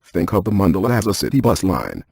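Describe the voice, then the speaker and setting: An actor playing a brainy, dryly cautious ghost hunter scientist in a video game, speaking in a deadpan, precise, technical way. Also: male voice, deep voice, fast, vocal fry, slightly rough, no room sound, clean studio sound, heavily acted. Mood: matter-of-fact, explanatory. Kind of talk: scripted video game character dialogue.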